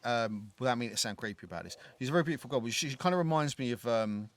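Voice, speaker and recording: A man's voice: mid-range voice, lively intonation, racing, a bit bright, no room sound. A man with a thick London accent, rambling and swearing as he chats about films on a podcast. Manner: rambling, swears freely, many fillers and restarts. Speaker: podcast co-host. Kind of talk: casual film discussion. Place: living in Ireland.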